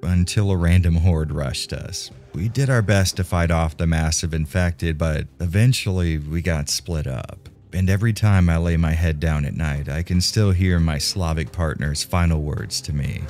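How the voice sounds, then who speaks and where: A man with a very low voice hosts a video game review over faint background music. His narration is scripted but conversational, delivered with a wry, tongue-in-cheek sense of humour. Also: moderate pace, hardly any pauses, darkish tone.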